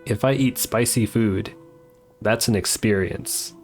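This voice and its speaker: A woman's voice: very deep voice, a little slow, darkish tone, soft background music. A woman giving casual live commentary while streaming a video game.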